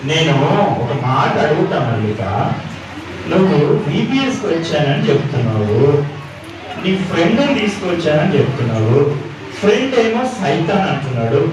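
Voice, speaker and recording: Lively puppet show host in an echoey hall. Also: medium pitch, normal speed. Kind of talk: live children's puppet show presenting. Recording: echoey hall, distant mic, loud noisy crowd.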